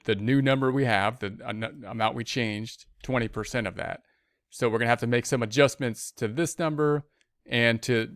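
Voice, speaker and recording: A man's voice: mid-range voice, a bit bright, clean tone, no room sound. A man narrating a screen-recorded spreadsheet tutorial as an accounting instructor, informally thinking aloud step by step into a close mic.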